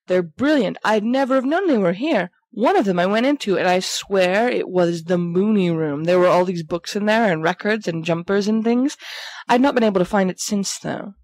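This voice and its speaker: A woman plays a theatrical teenage schoolboy, vain and playful. The letters are read in a chatty, melodramatic way at an average pitch for a woman. The sound is dry, with no room echo, like a fan-made audiobook. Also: darkish tone, lightly acted. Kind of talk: reading letters aloud in character, audiobook-like fan recording.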